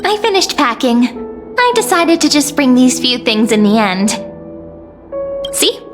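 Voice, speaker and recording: An actress playing a gentle, earnest, affectionate young companion in a video game, speaking encouragingly. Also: female voice, high voice, very animated, unhurried, over music. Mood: tender, hopeful. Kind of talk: scripted video game dialogue.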